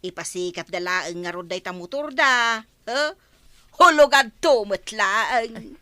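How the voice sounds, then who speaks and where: A woman's voice: on the high side, animated, slow pace, bright, forceful tone, dry recording, exaggerated voice. A woman acting a nosy, complaining neighbourhood gossip in an Ilocano radio drama, chatty and full of exclamations. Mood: scornful gossip.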